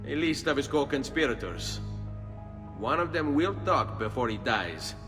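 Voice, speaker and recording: A resolute young assassin. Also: male voice, mid-range voice, sing-song, measured pace, long reverb tail, music in the background. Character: young male Italian assassin, determined and steady. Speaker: lead hero.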